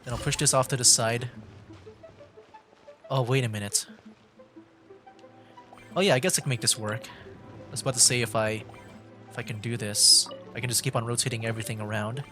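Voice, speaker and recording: A man streaming a game, casually commenting as he works through puzzles, thinking aloud with halting restarts. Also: medium pitch, fairly even intonation, pushed and bright, faint music behind.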